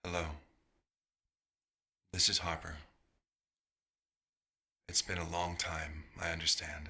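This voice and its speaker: Man voicing a deep-voiced, formal vampire doctor. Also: pushed and bright, heavily acted. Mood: grave, worried. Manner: formal, hesitant, polite. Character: male vampire doctor turned human, formal, bewildered, hungry. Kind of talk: dramatic audio roleplay.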